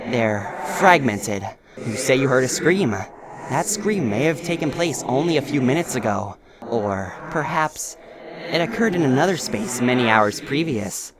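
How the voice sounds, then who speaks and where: A performer acts out a bitter spirit in a dramatic game dub. It talks down to the listener with formal, menacing scorn and deep distrust. The recording has clear noise behind it. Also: natural voice. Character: bitter hostile ghost, taunting, distrustful of teachers.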